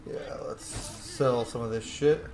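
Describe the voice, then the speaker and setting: A man's voice: medium pitch, fairly even intonation, slow pace, darkish tone, reverberant, soft background music. A man giving casual, irreverent gameplay commentary, muttering asides and swearing lightly. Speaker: video game streamer.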